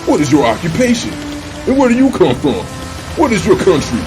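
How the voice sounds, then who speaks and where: An alarmed ancient seaman in a scripture audio drama, acted by a man, his voice pitched well up and loud. He is urgent and beseeching, with strong swings over music. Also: huge pitch swings, fairly quick. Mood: anxious questioning. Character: alarmed, pleading ancient sea captain and frightened sailors.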